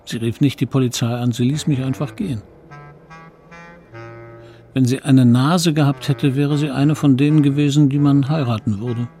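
A man's voice: medium pitch, fairly even intonation, normal speed, mellow, soft background music, natural voice. A man playing the narrator of a radio play, reflectively recalling his own boyhood with some regret. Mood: wistful. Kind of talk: scripted first-person narration in radio play.

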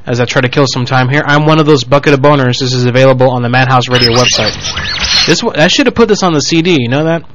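A man's voice: mid-range voice, highs cut off, noisy background. A man hosting a casual radio show, joking and swearing loosely as he introduces calls. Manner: fast, crude, joking rants.

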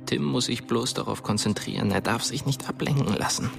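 An actor playing a tense, somber narrator in a radio drama, with a gravelly voice and measured, ominous delivery. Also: male voice, mid-range voice. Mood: tense, secretive. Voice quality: gravelly, creaky. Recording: radio drama with music underneath.

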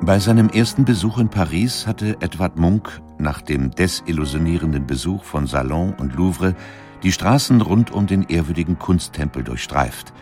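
A man reading scripted documentary narration in a measured, factual way. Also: low voice, animated, a little mellow, soft background music.

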